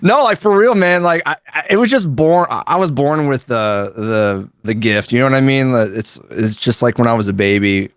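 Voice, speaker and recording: A man's voice: medium pitch, moderate pace, few pauses, no echo, clean studio sound. A man in his thirties, a guest drummer on a podcast, talking in a laid-back, slangy way and laughing often. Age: 30s. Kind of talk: casual podcast chat.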